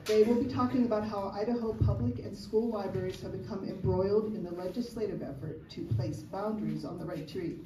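A woman's voice: mid-range voice, background noise. A woman host reading a speaker introduction in a community hall. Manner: measured, reading from notes. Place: large community hall, indoors. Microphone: far camera. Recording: roomy hall recorded from far off.

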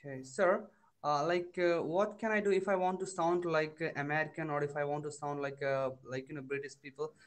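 A man's voice: a little high, steady tone, brisk. A young man from India with a Bihari Indian accent, a student practicing English on a video call, hesitant and full of fillers like "you know" and "I mean". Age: young adult. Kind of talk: casual conversation lesson.

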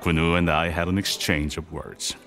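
Deep-voiced, measured older tycoon. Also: male voice, little pitch movement, fairly bright tone, soft background music. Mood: grave. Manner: measured, formal, deliberate. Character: powerful, composed older chaebol businessman and father. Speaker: actor in a podcast drama. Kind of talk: audio drama acting.

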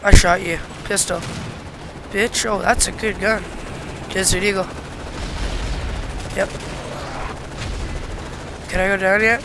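A congested-sounding gamer giving casual, sweary gameplay commentary with blurted, excitable reactions. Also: mid-range voice, slow, vocal fry, long pauses. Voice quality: congested, stuffed-up nose. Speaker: video game player recording commentary. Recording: gunfire and game noise behind voice.

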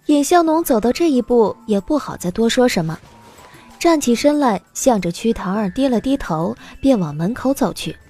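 Lively high-voiced woman narrator. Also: moderate pace, soft background music. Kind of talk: expressive scripted audiobook narration.

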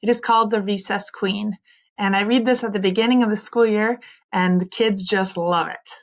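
A woman in her thirties reading a children's story aloud like a teacher, expressively, with dramatic drawn-out emphasis on key words. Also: mid-range voice, fairly even intonation. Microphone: computer mic on a screen-share video recording.

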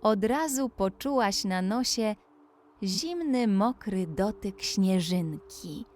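A woman narrating a scripted children's bedtime story, speaking deliberately and addressing the young listener directly. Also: mid-range voice, expressive, a little mellow. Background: music.

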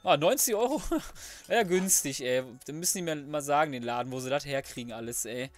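A man's voice: on the high side, a little fast, soft background music. A man giving casual let's play commentary, colloquial and mildly sweary, often muttering to himself. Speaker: gaming video host.

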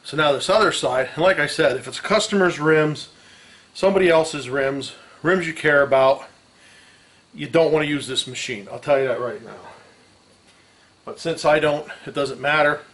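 A man's voice: on the high side, a bit bright. A middle-aged man giving a casual hands-on tutorial in a garage, talking in folksy, salty slang as he works, picked up a few feet from the camera. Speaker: mechanic, host of a how-to video. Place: garage with open door. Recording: clanking metal tool noise.

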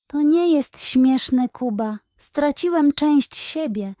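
A woman's voice: high-pitched, animated, slow, dark tone, dry recording, studio quality, pitched above the performer's own voice. A woman voicing a worried, sad little boy hedgehog, plaintive and earnest, in a children's story. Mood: hurt.

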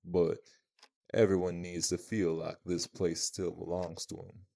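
Man playing imposing vampire spouse, very low voice, creaky, deadpan, haughty, protective, audio roleplay. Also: measured pace, full character voice. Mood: measured.

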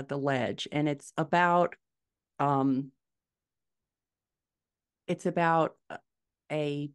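A middle-aged American woman chatting as a guest author on a podcast, thinking aloud as she explains. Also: low voice. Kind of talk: casual podcast interview. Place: home room, indoors. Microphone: video call, desktop mic.

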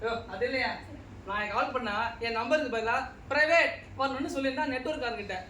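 A man's voice: very high voice, quick pace, pushed and bright. A man acting in a comic stage play as a pompous, nitpicking householder, scolding and exasperated. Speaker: stage actor. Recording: distant mics, echoey hall, noisy.

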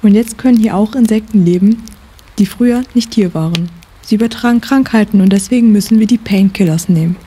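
A woman's voice: mid-range voice, fairly quick, dark tone, slight background noise, lightly acted. A woman playing a practical, knowledgeable young forest survivor in an amateur audio drama. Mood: matter-of-fact.

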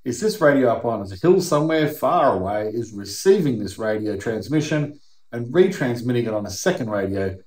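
A man's voice: mid-range voice, animated, moderate pace, darkish tone. A middle-aged Australian man giving a conversational, emphatic, plain-spoken explainer to camera as a video host. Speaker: YouTube host. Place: indoor workshop or garage. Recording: roomy, echoing indoor sound.

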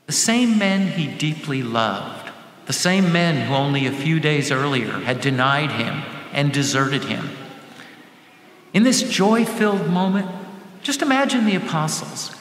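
An older man preaching a sermon as a deacon, speaking deliberately in short phrases with dramatic pauses. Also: fairly high pitch, fairly bright tone. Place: large church sanctuary, at the lectern. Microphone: gooseneck lectern microphone. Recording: echoey church hall with faint background noise.

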